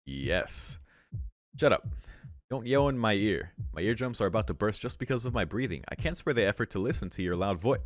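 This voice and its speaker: A man's voice: on the low side, expressive, brisk, no echo. A man reading visual novel narration aloud as a let's play host, with casual asides.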